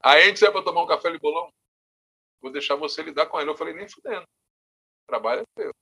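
A man with a Salvador accent, a musician telling stories in a casual interview in an animated, talkative way. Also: on the high side, fast talker, slightly rough, low-quality recording. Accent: Salvador, Bahia. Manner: animated, often says "velho". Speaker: musician and bandleader.

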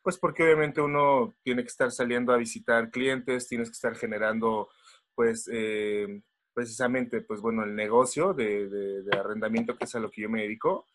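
Halting Mexican man, casual chat. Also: medium pitch, fairly even intonation, a bit bright, a little hiss or hum. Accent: Mexican Spanish. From Mexico City. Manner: halting, many fillers and restarts. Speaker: guest. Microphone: video call.

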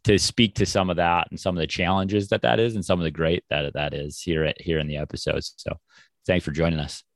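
This is a male podcast host from the Midwest, talking in a casual, rambling way and often restarting his sentences. His voice sits very low, and the recording is dry and close. He sounds relaxed as he chats with his guest. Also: brisk, no room sound. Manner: chatty, rambling questions with restarts. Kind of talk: casual interview chat.

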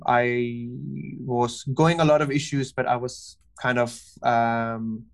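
Earnest, flat-voiced man telling his story. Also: mid-range voice. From Pakistan, raised in Kuwait. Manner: halting, many fillers and restarts, earnest. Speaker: guest, cognitive behavior therapist. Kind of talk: personal story in a podcast interview.

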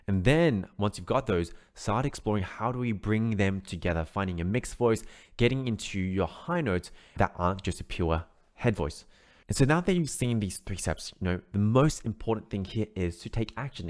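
A man teaching an online singing lesson, explaining steps in an encouraging way and often checking in with "right?". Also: on the low side. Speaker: vocal coach.

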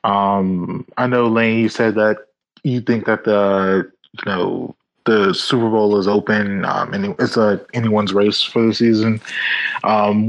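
A man co-hosting a casual sports discussion and putting questions to the panel, on lo-fi audio. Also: lowish voice. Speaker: sports talk co-host. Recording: dull lo-fi sound.

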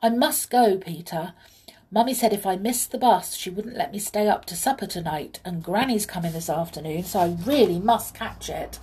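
A woman voicing a kind, excitable young girl who exclaims earnestly in a children's story. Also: mid-range voice, normal speed, lightly acted, low-quality recording. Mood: anxious.

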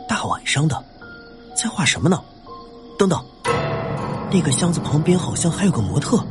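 A man playing a brash, suspicious police officer on night patrol in an audio drama, brisk and questioning. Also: fairly high pitch, sing-song, soft background music. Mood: stern, suspicious.

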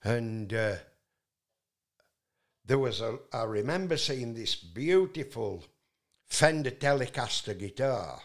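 A man's voice: medium pitch, lively intonation, slow, long pauses. A man from England, telling a long, rambling story as a guest and often restarting with fillers. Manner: loud voice, many fillers and restarts.

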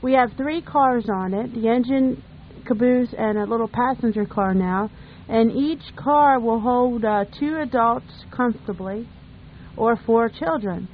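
A woman's voice: a little high, a little slow. An American woman co-hosting a radio show in casual, joking chat. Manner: joking, jovial. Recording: thin, noisy phone-like sound.

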